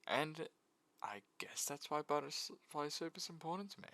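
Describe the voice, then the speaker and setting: A man's voice: fairly high pitch, lively intonation, normal speed, gravelly, pushed and bright, no room sound. A man giving a casual, jokey video essay about games, with mock sincerity.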